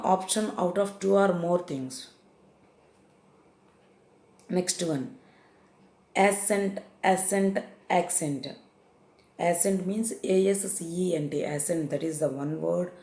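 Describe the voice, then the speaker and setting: A woman's voice: fairly low pitch, dark tone, roomy sound. A woman with an Indian English accent teaching confusable English words, repeating each definition and often hesitating with fillers. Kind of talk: vocabulary lesson.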